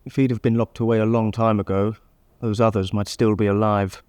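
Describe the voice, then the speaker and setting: A man's voice: lowish voice, flat delivery, a little fast, a little mellow, clean tone, natural voice. A man playing a sober, polite magazine writer in a vintage audio drama.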